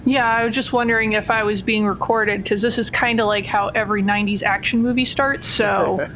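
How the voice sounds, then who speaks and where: A woman's voice: fairly even intonation, brisk, fairly bright tone, low-quality recording. A woman playing a tabletop game, chatting casually and sounding a little tired and frazzled. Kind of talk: casual chat. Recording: noticeable low rumbling background noise.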